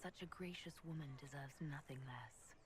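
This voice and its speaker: A woman's voice: low voice, moderate pace, a little hiss or hum, lightly acted. A woman playing a composed, direct heroine who leads others in a fantasy video game. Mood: gentle. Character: composed heroine, bold leader of the Inquisition. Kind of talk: dramatic video game dialogue. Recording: game audio with background ambience.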